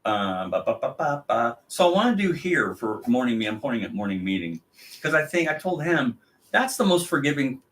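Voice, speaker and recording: A man's voice: medium pitch, big pitch swings, brisk, fairly bright tone. A man co-hosting a live chat show, talking casually, trailing off mid-sentence and laughing often. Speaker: co-host.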